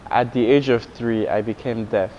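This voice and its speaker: A man voicing interview answers as an interpreter, in a measured read-out style. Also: mid-range voice, dark tone. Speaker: interpreter voicing a deaf artist's signed answers. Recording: dull, noisy recording.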